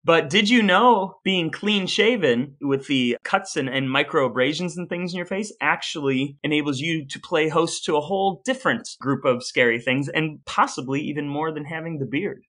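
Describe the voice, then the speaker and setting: A man's voice: on the high side, moderate pace, hardly any pauses, a bit bright. A middle-aged American man co-hosting a podcast, telling stories and chatting casually in a wry way. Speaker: podcast co-host. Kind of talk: casual podcast storytelling and chat.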